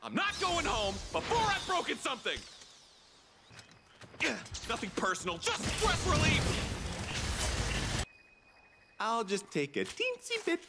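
A man voicing a cocky, excitable, goofy monster hunter in a video game, hammy and boastful. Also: high voice, noisy background, heavily acted. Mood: playful. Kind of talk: video game combat voicelines. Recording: game sound effects behind voice.

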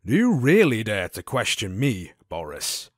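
An actor playing a cultured, commanding gang boss in an audio drama, speaking in a measured, formal, theatrical way. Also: male voice, lowish voice, wildly swooping pitch. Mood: menacing.

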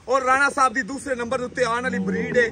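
A young man with a Pakistani Punjabi accent hosting a livestock sales video, chatting in a lively salesman patter at an outdoor cattle shed. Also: very high voice, fast. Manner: chatty salesman patter, repeating stock phrases. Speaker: vlogger showing dairy cattle.

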